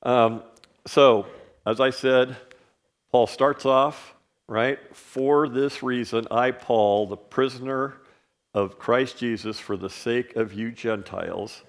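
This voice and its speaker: A man whose first language is not English, teaching a Bible lesson in a relaxed way, with many fillers and frequent "right?" checks. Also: mid-range voice, takes it slowly.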